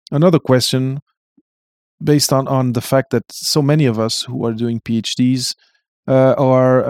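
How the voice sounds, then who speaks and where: A man's voice: medium pitch, a little mellow, no echo, clean studio sound. Hesitant male interview host. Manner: hesitant, many restarts and fillers. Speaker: podcast host. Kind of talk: live-streamed interview.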